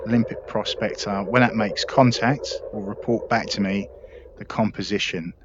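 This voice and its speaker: Male gaming host, easygoing explainer, recording with clear background noise. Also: fairly low pitch, normal speed, dull audio. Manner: relaxed, explanatory, self-deprecating. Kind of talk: gameplay commentary.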